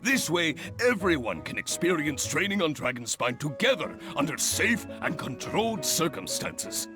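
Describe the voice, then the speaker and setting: An exuberant leader of adventurers in a video game. His high voice soars and dips dramatically with effusive, theatrical enthusiasm, and music plays clearly behind him. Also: big pitch swings, normal speed. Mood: proud, enthusiastic. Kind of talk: video game character acting.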